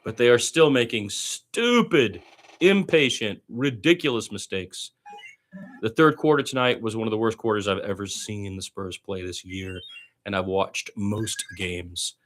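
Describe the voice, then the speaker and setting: A man's voice: on the low side, animated, measured pace, a bit bright. A man doing amateur radio-style basketball play-by-play in short clipped phrases, with opinionated asides as a partisan fan.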